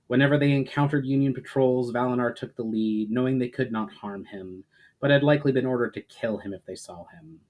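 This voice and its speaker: Man giving a dramatic, measured story reading. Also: mid-range voice, darkish tone. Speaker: storyteller reading own fiction.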